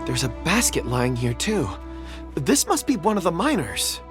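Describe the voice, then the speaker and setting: A man playing a modest, earnest young man in a video game, speaking politely and gently. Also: fairly high pitch, wildly swooping pitch, moderate pace, music in the background. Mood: concerned.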